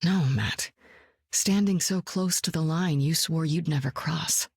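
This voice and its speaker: A seasoned woman superspy with a cool, wry, self-assured delivery. Her voice sits a little low and is recorded close and dry, with no room around it. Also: measured pace, a little mellow, breathy edge, dry recording, full character voice. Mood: cool, teasing. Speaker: game hero. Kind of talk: video game voice lines.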